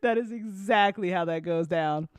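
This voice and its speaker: A woman chatting casually and unscripted on an after-show, telling stories in a rambling, animated way with slangy swearing. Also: mid-range voice, moderate pace, clear, resonant tone, no echo. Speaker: audio drama creator chatting on a live after-show.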